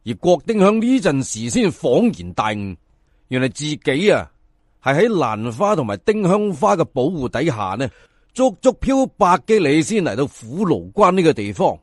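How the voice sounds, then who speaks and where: A man's voice: a little high, moderate pace, no echo, clean studio sound. A man with a Cantonese accent telling a folk tale in colloquial, dramatic narration, speaking to listeners in a conversational way. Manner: expressive, conversational asides to listeners. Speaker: storyteller.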